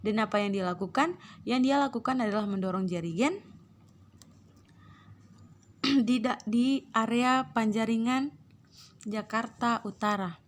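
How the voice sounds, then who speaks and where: Hoarse Indonesian woman, slightly high voice, slow patient teacher delivery with frequent throat clearing; faint noise. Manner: clears throat often, slow careful reading of English. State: hoarse from a cold. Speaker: English teacher. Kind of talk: online English lesson, reading then translating.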